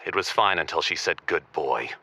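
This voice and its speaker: A man playing a stubborn, prim intellectual caller on a radio comedy show, precise and deadpan in his indignation. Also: low-pitched, moderate pace, no echo, heavily acted. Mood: deadpan grievance. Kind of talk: radio call-in comedy drama.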